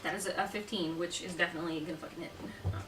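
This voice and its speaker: Woman, tabletop player, casual talk, average pitch, roomy echo, distant mic, noisy background. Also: steady tone, very fast. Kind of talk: casual chat during a game. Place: home, around a gaming table.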